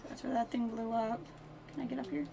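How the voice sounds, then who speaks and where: Female streamer, slightly high pitch, casual self-directed play-by-play in short playful asides, noisy recording with typing. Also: fairly even intonation, a little fast, creaky. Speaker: live streamer. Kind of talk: casual gameplay commentary.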